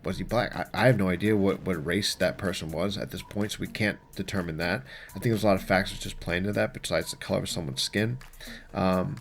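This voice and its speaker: A man's voice: low voice, gravelly. A man hosting an explainer show, giving casual news commentary and reading articles aloud in an informal, conversational way. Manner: informal, conversational, mildly profane.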